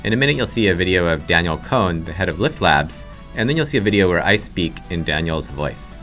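Very deep, bright, lively man. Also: fairly quick, faint music behind. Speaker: tech company co-founder. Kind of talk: demo presentation. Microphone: studio condenser mic with pop filter.

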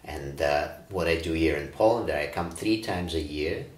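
A man in his forties from Ukraine, a breathwork teacher, answering interview questions in a calm, reflective way with frequent fillers. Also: very deep voice, normal speed. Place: bright studio room, indoors. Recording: roomy studio echo.

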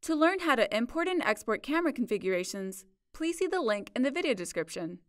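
A woman narrating a scripted software tutorial in a measured, instructional way. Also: fairly high pitch, sing-song, dry recording, clean studio sound. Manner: clear, measured instructional delivery. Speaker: support video narrator.

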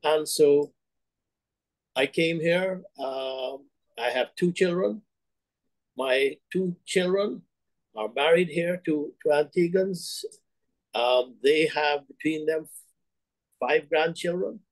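Older man, halting, many restarts. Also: on the high side, dry recording, low-quality recording. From Guyana. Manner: hesitant, many restarts and fillers, unhurried. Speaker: bank CEO guest. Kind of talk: remote interview, reflective storytelling. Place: home room, indoors. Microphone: webcam on video call.

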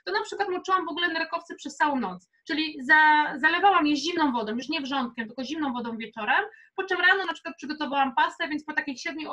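A woman's voice: sits high, sharp tone. A young woman leading a casual live cooking workshop in a chatty, informal, encouraging way. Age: young adult. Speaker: cooking instructor. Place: home kitchen, indoors.

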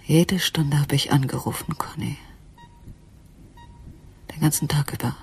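A woman's voice: low voice, steady tone, measured pace, a little mellow, a little hiss or hum. An actress playing a weary, troubled wife recounting her day in a radio drama monologue, half-whispering.

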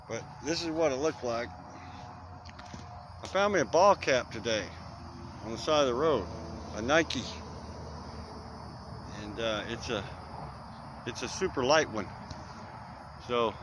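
A man, rambling folksy vlog talk outdoors at dusk, recorded on a close-held phone. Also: mid-range voice. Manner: rambling, folksy, trails off with fillers. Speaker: vlogger. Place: outdoors in dense brush at dusk. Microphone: phone camera held close. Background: crickets, insects and distant traffic.

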